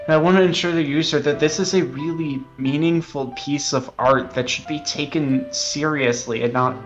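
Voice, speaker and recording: Earnest, halting man with slightly dull sound. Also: on the high side, fairly even intonation, faint music behind. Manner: earnest, self-serious, halting. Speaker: game developer being interviewed. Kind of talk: mock interview.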